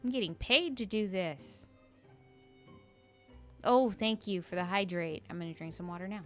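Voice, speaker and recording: A woman streamer with a low, slightly raspy voice, chatting in a dry, monotone, joking way while she plays a game. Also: normal speed, soft background music. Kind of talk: casual let's-play commentary.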